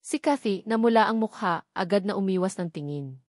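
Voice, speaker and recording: A woman's voice: medium pitch, animated, fairly quick, darkish tone, dry recording, clean studio sound. A woman with a Filipino accent reading a scripted romantic story as a dramatic audiobook narrator.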